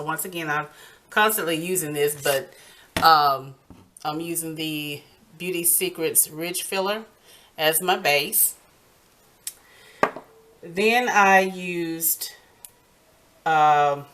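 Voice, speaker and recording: Woman doing a casual how-to, low voice, real slow, stops and starts a lot. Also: sharp tone, a little hiss or hum. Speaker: nail art tutorial host. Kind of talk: casual step-by-step tutorial talk.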